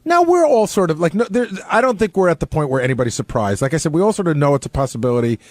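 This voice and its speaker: Quick-talking man with a higher voice. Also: very animated, no room sound. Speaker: radio producer and panelist. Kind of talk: casual unscripted discussion. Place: radio studio. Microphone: close studio microphone.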